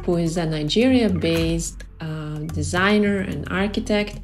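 A woman's voice: on the low side, unhurried, soft background music. A woman hosting a podcast, welcoming her guest in an upbeat, friendly way and asking interview questions. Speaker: podcast host. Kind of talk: scripted podcast intro and questions.

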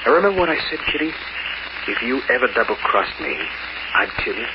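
An actor playing a clever, vengeful safecracker in an old-time radio drama, taunting and theatrical. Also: male voice, medium pitch, very animated, quick pace, natural voice. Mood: cold. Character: clever, menacing, vengeful safecracker narrating his downfall. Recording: muffled, very noisy old radio recording.

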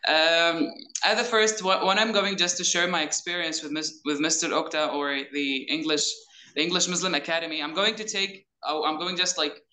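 A man's voice: on the high side, fairly even intonation, normal speed, bright, forceful tone. A young man from Syria, a student in an online class, talking hesitantly with many restarts and light laughs. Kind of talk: casual class chat. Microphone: laptop mic on video call. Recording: echoey room over video call.

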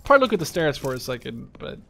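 Male gameplay streamer, voice a bit high, casual and profane, drifting off mid-sentence, gunshots and clicks audible behind. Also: sing-song, very fast, creaky, fairly bright tone, a little hiss or hum. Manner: rambling, profane, easily distracted mid-sentence. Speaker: video game streamer. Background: video game gunfire.

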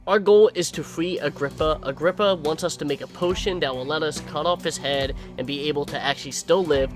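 Brief: excitable young male gamer narrating a playthrough, slightly high voice, loud game soundtrack behind. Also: brisk, faint music behind. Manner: jokey, excitable, swears and shouts. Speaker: gameplay commentator. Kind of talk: gameplay commentary.

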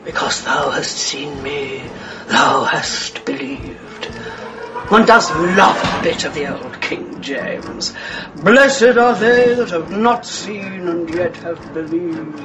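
Slow, high-voiced mocking male demon. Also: animated, over music. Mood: sardonic teasing. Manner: theatrical, teasing, languid. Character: mocking demon posing as urbane, sardonic dead father. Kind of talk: radio horror drama acting.

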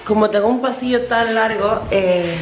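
A woman's voice: fairly high pitch, normal speed, echoey room, background noise. A young woman in her twenties filming an ironic, self-mocking parody vlog on a handheld camera at arm's length in her small apartment. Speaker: vlogger. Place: small apartment kitchen and bedroom.

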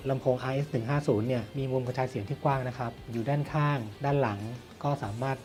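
A man's voice: mid-range voice, fairly even intonation, fast talker, darkish tone. A man reviews and demonstrates a product in a mid-range voice. He explains step by step, often asking a question and then answering it. Music plays behind him throughout. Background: background music.

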